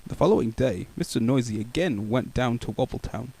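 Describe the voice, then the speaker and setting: A man reading a children's picture book aloud in a playful, expressive way. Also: medium pitch, fairly quick, vocal fry, breathy edge, rough recording. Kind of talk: animated storybook read-aloud.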